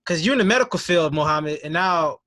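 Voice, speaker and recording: A man's voice: on the high side, expressive, a little fast, sharp tone, dry recording, clean studio sound. A man hosting a podcast, asking questions in an easygoing, loose way. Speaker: podcast host. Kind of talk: casual interview chat.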